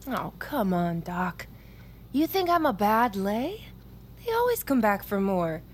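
Cocky young female recruit, flippant, profane, teasing, medium pitch, audio drama, faint ambient noise. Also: sing-song, takes it slowly. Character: brash, cocky young female army recruit, manipulative, wounded. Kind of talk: naturalistic audio drama acting.

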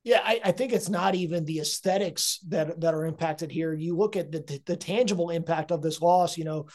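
A man's voice: fairly high pitch, a little fast, darkish tone. Man talking football with many restarts. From India. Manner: hesitant, many restarts and fillers. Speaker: guest sports writer. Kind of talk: casual sports analysis.

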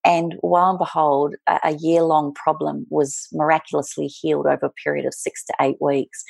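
Low, gravelly New Zealand woman. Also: no room sound. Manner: warm, emphatic storyteller, often saying "look". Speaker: guest, aromatherapist. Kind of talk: storytelling in an interview.

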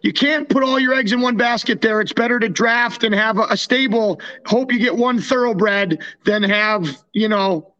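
A man's voice: sits high, normal speed, pushed and bright, muffled recording. A young North American man chatting casually about sports as a podcast co-host, often restarting his sentences. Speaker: podcast co-host and former hockey player.

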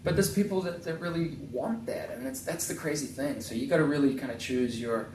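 A man, a tattoo artist being interviewed in his shop, talking casually in halting bursts with many restarts. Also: on the high side, darkish tone, recorded from a distance, slight background noise. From New Zealand. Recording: echoey room, a bit off-mic.

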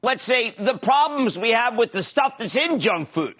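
Older philly guy hosting, high bright voice, excitable and emphatic. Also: normal speed. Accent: Philadelphia. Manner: excitable, emphatic, swings between high and low. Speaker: TV host. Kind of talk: TV interview.

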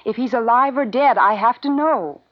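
A woman's voice: on the high side, moderate pace, no room sound, close to an everyday voice. An actress in a 1950s radio drama playing an earnest, stubborn young woman, a scientist's grieving daughter. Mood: pleading. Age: young adult. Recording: muffled, dry vintage radio broadcast.

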